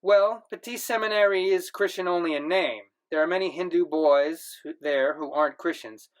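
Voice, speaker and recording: A man reading aloud who voices a stern, bewildered middle-aged Indian father with brusque, puzzled questions, pitched a little higher than his narration. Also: normal speed, lightly acted, low-quality recording.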